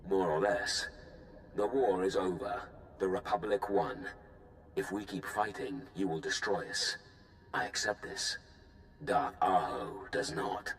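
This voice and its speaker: An actor playing a ruthless, scheming Sith lord who talks in a measured, blunt, commanding way. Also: male voice, sits low, echoey room, a little hiss or hum, low-quality recording. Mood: cold, calculating. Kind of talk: video game cutscene acting.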